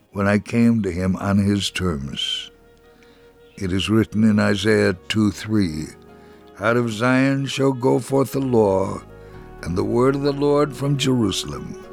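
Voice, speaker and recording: A man reading a first-person religious testimony as a scripted dramatic narration, in a measured, earnest way. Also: medium pitch, gravelly, soft background music.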